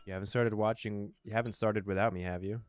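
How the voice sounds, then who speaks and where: Low-voiced man playing and reading a game. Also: fairly even intonation, quick pace, smooth, faint music behind. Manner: stumbles over words while reading, self-correcting. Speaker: gamer reading a game aloud with commentary. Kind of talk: casual let's play, reading narration aloud and reacting.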